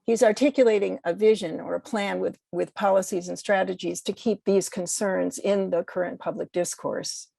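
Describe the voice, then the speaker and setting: Female guest, considered commentary, deliberate delivery. Husky, dry close sound. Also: mid-range voice, steady tone, mellow, no echo, poor audio. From United States. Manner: measured, deliberate, carefully worded. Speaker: interview guest, poet. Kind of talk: considered political commentary in conversation.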